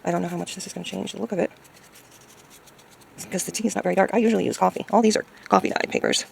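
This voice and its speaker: A woman chatting casually as she crafts, thinking aloud hesitantly in a hoarse, gravelly voice. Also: lowish voice, fairly even intonation, rapid-fire, slight background noise. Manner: hesitant, musing to self. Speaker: craft video maker.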